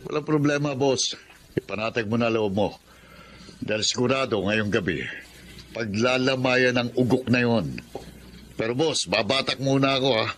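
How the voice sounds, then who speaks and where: A man acts out a ruthless Filipino henchman in a radio drama. He is cocky and menacing, a killer who serves a crime boss. His mid-pitched voice sits over clearly audible background noise. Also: normal speed, long pauses, a bit bright, close to an everyday voice.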